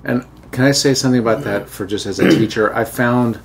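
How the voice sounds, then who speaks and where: A man's voice: medium pitch, a little fast, vocal fry, a little hiss or hum. Chatty American man hosting an interview. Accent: American Southern. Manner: relaxed, chatty, tells anecdotes. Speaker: podcast host and teacher. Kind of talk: casual conversational interview.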